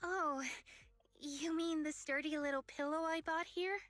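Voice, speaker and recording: A woman's voice: high voice, steady tone, measured pace, a bit bright, dry recording, faint music behind. A woman voicing a cheerful, earnest young dreamer with big ambitions in an anime-style game. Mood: friendly, casual.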